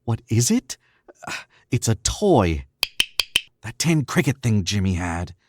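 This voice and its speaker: A man's voice: lowish voice, sing-song, slow pace, heavy background noise. A man acting in an old-time radio drama as a cool, condescending young murderer, self-assured and suave. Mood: sharp, alarmed.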